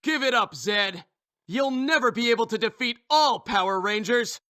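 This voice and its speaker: Earnest, animated game hero. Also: male voice, very high voice, normal speed, full character voice. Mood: defiant. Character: earnest heroic male ranger from the future. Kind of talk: video game hero acting. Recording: very loud music bed.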